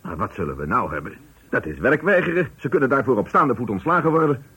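An actor playing a hard-nosed, pompous factory director in a radio play, condescending and scornful of his workers, with a husky voice. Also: mid-range voice, sing-song, fast talker, pushed and bright, heavily acted. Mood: indignant. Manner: pompous, condescending, rhetorical questioning. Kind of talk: Dutch radio play acting.

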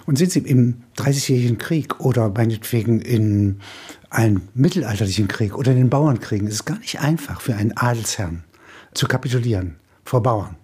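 Older man explaining, husky voice. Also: mid-range voice, brisk, a little mellow. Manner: discursive, explanatory, punctuated with short confirming tags. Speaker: author. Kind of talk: reflective interview-style commentary.

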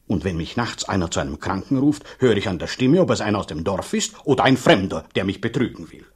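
A blunt, talkative village priest for radio drama. His voice is low and husky, swinging widely as he grumbles. He is a gruff, suspicious middle-aged man. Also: very animated, fast talker, heavily acted. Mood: apologetic, grumbling.

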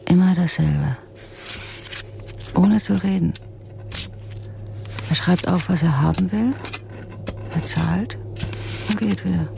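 An actress playing a curious, watchful village storyteller in a radio drama, with a creaky, mellow voice. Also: female voice, lowish voice, slow pace, pauses often, background noise, full character voice. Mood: observant. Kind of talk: German radio drama narration, voicing villagers.

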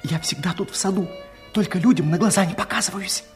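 Casting: woman in the role of shy, self-effacing garden lad, low soft voice, earnest fairy-tale drama delivery. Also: quick pace, over loud music, close to an everyday voice. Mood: happy. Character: humble shy young male gardener, tender, self-mocking. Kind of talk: theatrical fairy-tale radio play acting.

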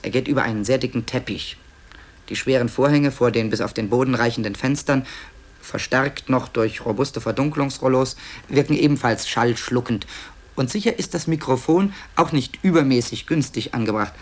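A man's voice: medium pitch, normal speed. Musing, wry male radio narrator. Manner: musing, hesitant, wry asides. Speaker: author-narrator presenting tapes to listeners. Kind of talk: conversational radio play narration.

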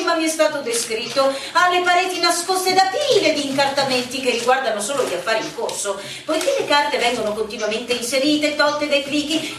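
A woman playing a brisk, condescending village bureaucrat in a radio drama, formal, precise and dismissive. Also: high voice, fairly bright tone. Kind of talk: stage-style radio drama acting. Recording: noisy echoey room, far from mic.

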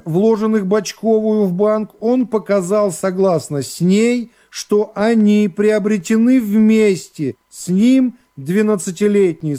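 A man playing a court secretary in a radio play, reading out an indictment in a formal, drawn-out official manner. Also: high voice, mellow. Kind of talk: radio play character acting.

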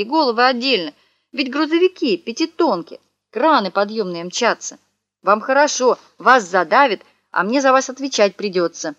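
A woman's voice: mid-range voice, fairly bright tone, no room sound, dull audio, close to an everyday voice. Woman playing a wailing young swindler. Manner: wailing, wheedling, melodramatic. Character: sly young male swindler, whining and theatrical.

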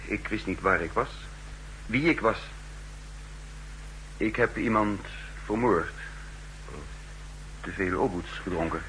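An actor playing a bewildered amnesiac agent in a 1960s radio drama, halting and deferential toward his superior. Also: fairly low pitch, a bit bright. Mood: uneasy, confused. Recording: noisy 1960s radio recording with hum.

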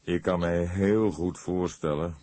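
A man voicing a grey-haired veteran detective in an audiobook, thoughtful and courteous, with deliberate, earnest phrasing. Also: sits low, steady tone, dark tone, no room sound.

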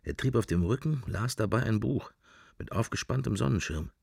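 A daydreaming man lost in interior monologue in a radio play. The voice is deep and husky, recorded close and dry, drifting from one fragment of thought to the next. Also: lively intonation, moderate pace, darkish tone, dry recording, exaggerated voice. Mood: pondering. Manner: fragmentary, drifting, thinking to himself. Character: musing man in Dublin, idly drifting daydreamer.

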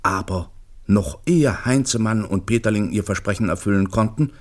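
A man narrating a literary radio play in a measured, wry storytelling manner, with a husky voice. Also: lowish voice, close to an everyday voice.

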